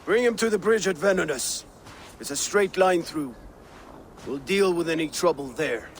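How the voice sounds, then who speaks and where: An actor playing a firm, pragmatic Viking war leader in a video game. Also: male voice, on the high side, moderate pace, a little hiss or hum, natural voice. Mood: commanding. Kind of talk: video game cutscene acting.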